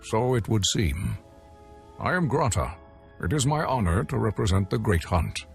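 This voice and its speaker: Deep, lilting and ceremonious, a stiff official oversees a deadly hunters' contest in a game scene. Each word is precise and formal, the pitch rolling low yet lively, with soft music beneath. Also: male voice, normal speed, vocal fry. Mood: measured. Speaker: tournament official. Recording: music behind.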